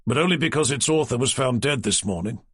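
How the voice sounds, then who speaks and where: A man voicing a calm, dry-witted male chief inspector who asks measured, probing questions. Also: mid-range voice, husky, no room sound.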